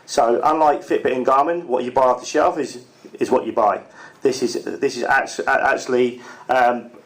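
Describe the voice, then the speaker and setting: A man with a voice of ordinary pitch gives a spoken sales pitch at a networking evening. It is loose and unscripted, full of "actually" and "uh". He often repeats words as he goes. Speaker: sales representative pitching a product. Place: event room with an audience.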